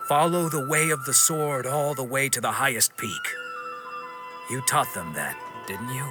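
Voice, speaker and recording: A man playing a stern but forgiving wandering swordsman in a video game, speaking in a measured, firm and frank way. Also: mid-range voice, wildly swooping pitch, music in the background. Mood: earnest persuasion. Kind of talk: dramatic video game acting.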